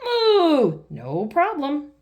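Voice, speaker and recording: A woman voicing a loud, bossy male bull who works on the road, shouting and mooing his lines. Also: sits high, huge pitch swings, extremely slow, pitched above the performer's own voice, heavily acted.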